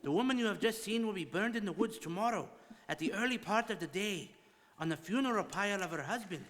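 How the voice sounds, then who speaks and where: A man as a high-voiced, deferential Indian guide. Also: lively intonation, brisk, a bit bright. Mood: grave explanation. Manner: deferential, solemn, formal. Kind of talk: stage radio drama acting. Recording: reverberant hall.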